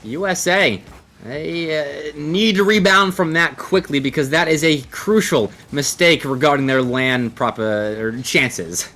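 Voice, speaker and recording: A man's voice: a little high, unhurried, bright, forceful tone. A man commentating live on a video game match as an esports caster. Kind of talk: live Rocket League play-by-play and banter.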